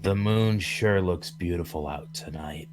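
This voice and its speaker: A man's voice: sits low, unhurried, a little hiss or hum. Man playing a lazy, stoned young woman. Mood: stoned, dreamy. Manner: drawling, lazy, casual. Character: stoned, giggly, laid-back female friend. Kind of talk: amateur radio drama read-through.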